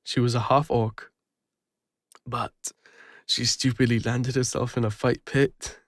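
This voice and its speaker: A man with an English accent playing a guilt-ridden, lonely retired adventurer, speaking haltingly and emotionally in an intimate fantasy audio roleplay. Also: mid-range voice, level tone, no room sound, clean studio sound, natural voice. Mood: fond, nostalgic.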